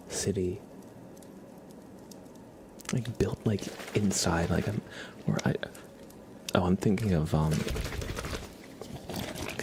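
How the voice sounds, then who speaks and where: A man hosting an ASMR livestream, speaking softly and casually to viewers in a gentle, rambling, hesitant way. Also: noisy background. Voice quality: soft, breathy whispering with creaky low undertone. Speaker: livestream host.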